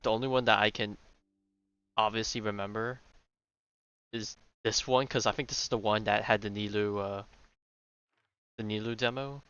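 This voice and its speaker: A male streamer talks casually over a game, thinking aloud in a rambling way. His voice is lowish, bright and clean, on a slightly dull mic with faint music. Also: smooth. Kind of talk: casual live game commentary. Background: faint game audio and music.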